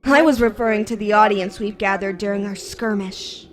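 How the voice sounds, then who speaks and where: A woman's voice: mid-range voice, steady tone, normal speed, close to an everyday voice. A woman playing a solemn, defiant young female warrior in an anime fan drama, speaking in a stern, formal, commanding way. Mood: cool, grave.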